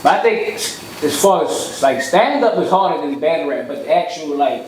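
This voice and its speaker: A man with a Baltimore accent, talking quickly and casually in slang as a comedian being interviewed. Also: on the high side, lively intonation. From Baltimore, United States. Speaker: podcast guest, comedian. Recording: echoey room, background noise.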